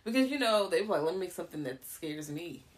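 A woman with a notably low voice co-hosting a casual podcast. She rambles and picks up speed as she gets excited. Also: fast talker. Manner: excited, rambling, fond of monsters. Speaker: podcast co-host. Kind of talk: casual horror podcast chat.

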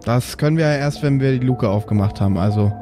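A man's voice: medium pitch, fast, music in the background, natural voice. A man playing a mild-mannered starship captain and father, bemused and a bit hesitant, in a tabletop audio play. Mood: dryly bemused.

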